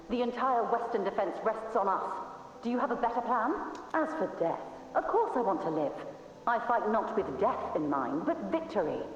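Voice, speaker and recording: A woman's voice: medium pitch, natural voice. An actress playing an intense, proud and anguished young woman warrior in a video game. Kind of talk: dramatic video game acting. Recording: echoey hall, distant and muffled.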